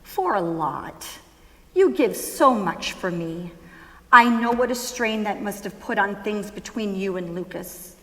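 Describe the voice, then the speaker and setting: A woman's voice: medium pitch, lively intonation, sharp tone. A woman playing an affectionate, wry ageing mother in a musical theatre staged reading. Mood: tender, reflective. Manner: wry, fond, unhurried. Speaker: stage actress. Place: school auditorium stage. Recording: echoey hall with long reverb.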